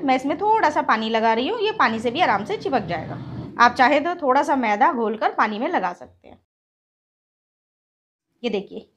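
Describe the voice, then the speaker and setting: A woman's voice: high-pitched, a bit bright, a little hiss or hum. A woman hosting a cooking channel, patiently explaining each step of a recipe to viewers in her home kitchen. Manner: patient, instructive, addresses viewers as friends. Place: home kitchen with gas stove.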